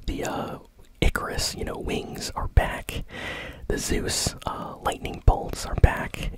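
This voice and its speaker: An ASMR gaming news host who speaks entirely in a whisper. The delivery is breathy, soft and informal, feeling its way through the commentary with a hesitant, conversational manner. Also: low-quality recording.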